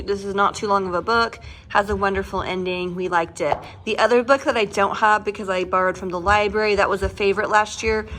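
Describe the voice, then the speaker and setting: A woman's voice: medium pitch, fairly bright tone. A woman in her thirties with an American accent, talking casually and enthusiastically in a homeschooling mom's vlog. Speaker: homeschooling mother and vlogger. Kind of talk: casual vlog talk to camera.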